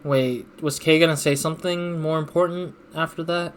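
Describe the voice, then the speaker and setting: A man hosting a let's play, reading game text aloud and commenting casually as he thinks out loud. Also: fairly high pitch, steady tone, moderate pace, smooth.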